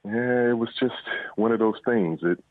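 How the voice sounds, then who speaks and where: A man's voice: medium pitch, moderate pace, no room sound. A middle-aged man answering interview questions conversationally, telling his story in a reflective, somewhat hesitant way over a phone. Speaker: interview guest, police officer and author. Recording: tinny narrow phone line.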